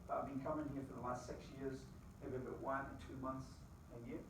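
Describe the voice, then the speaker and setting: A middle-aged Scottish man giving a lively motivational talk to a live audience, quizzing the crowd with folksy asides. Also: mid-range voice, fairly quick, background noise. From Scotland. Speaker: business opportunity presenter. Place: presentation room with an audience. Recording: very echoey room, distant mic.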